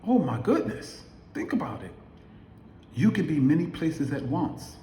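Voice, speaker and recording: A man's voice: on the high side, very animated, measured pace, warm tone, slight background noise. A man in his late fifties giving an emphatic motivational talk to camera, asking his viewers many rhetorical questions. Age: 50s. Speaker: affiliate marketer vlogger. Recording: echoey room.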